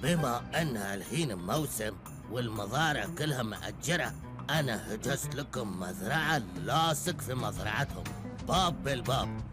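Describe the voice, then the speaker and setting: Male actor as a self-important Emirati cartoon driver, mid-pitch, lecturing and scheming. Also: animated, normal speed, over music, natural voice. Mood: smug. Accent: Emirati Arabic. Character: smug scheming middle-aged bus driver. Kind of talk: Gulf Arabic cartoon dub.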